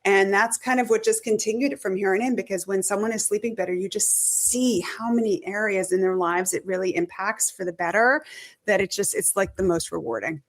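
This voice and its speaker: A middle-aged woman, a sleep medicine expert, answering interview questions in a conversational way, often restarting her sentences, her voice slightly hoarse. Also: mid-range voice, brisk. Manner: talks in restarts and self-corrections. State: voice going hoarse.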